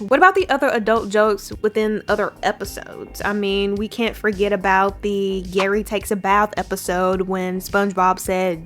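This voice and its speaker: A young woman presents a video essay over background music. Her delivery is chatty and emphatic, moving between scripted narration and casual asides, at a middling pitch for a woman.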